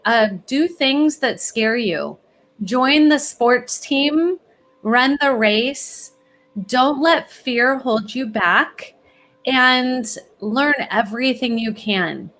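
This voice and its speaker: A woman's voice: on the high side, a bit bright. A middle-aged woman from California, a guest answering interview questions in an upbeat, encouraging and assured way. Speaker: guest, college planning executive.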